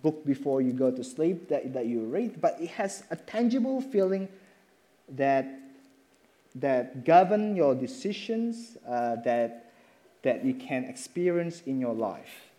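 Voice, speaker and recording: Man preaching, mellow voice. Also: medium pitch, very animated. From Indonesia. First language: Indonesian. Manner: halting, with many fillers and restarts. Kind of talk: sermon with slides.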